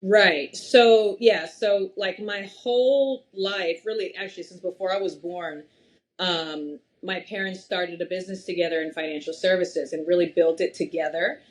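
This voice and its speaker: A young woman from Massachusetts, a podcast guest, talking at length in an animated, storytelling way. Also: fairly low pitch, normal speed, poor audio. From Massachusetts, USA. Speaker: podcast guest, wealth strategist. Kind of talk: casual interview chat.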